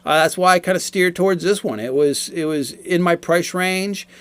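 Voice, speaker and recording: Middle-aged man, slightly high-pitched, casually explaining. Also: normal speed, a bit bright, smooth, no room sound. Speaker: product reviewer. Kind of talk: casual explanatory product review.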